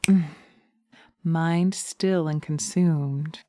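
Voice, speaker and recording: A woman voicing a sly, seductive witch hypnotist in an ASMR roleplay, breathy and often whispering, coaxing and commanding on a close microphone. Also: low voice, fairly even intonation, dark tone, no echo. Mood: soothing, coaxing. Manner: slow, coaxing, taunting, commanding. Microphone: close binaural microphone.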